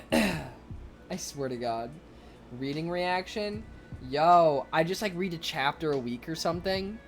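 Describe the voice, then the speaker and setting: A young man streaming, chatting casually and excitably with viewers, rambling with lots of fillers. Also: fairly high pitch, takes it slowly, creaky, faint music behind. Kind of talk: casual chat reading viewer comments.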